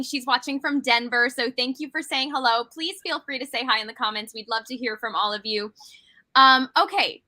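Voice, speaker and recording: This woman has a high, bright voice with a forward edge. She hosts a casual live interview show, greeting viewers and prompting her guest in an upbeat, gushing manner.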